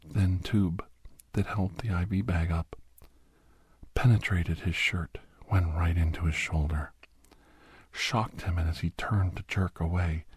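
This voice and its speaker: A man playing a captive doctor who grimly recounts his escape, half-whispered in a breathy ASMR horror narration with halting, deliberate phrases. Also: low-pitched, no echo, heavily acted. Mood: grim suspense. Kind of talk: first-person scripted horror narration, ASMR.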